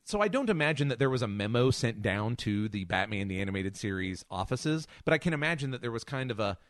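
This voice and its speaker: Man with lively, swinging intonation, dry recording. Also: mid-range voice, quick pace, no room sound. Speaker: podcast co-host and superhero scholar. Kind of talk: casual analytical podcast discussion.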